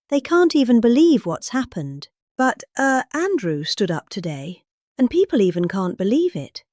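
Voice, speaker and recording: Brytyjka o żywej, zmiennej intonacji, suche nagranie. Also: female voice, mid-range voice, mellow, dry recording, studio quality. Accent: British. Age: middle-aged. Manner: exaggerated, varied emphasis. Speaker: reader of a political speech. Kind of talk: dramatic reading of a rambling spoken speech.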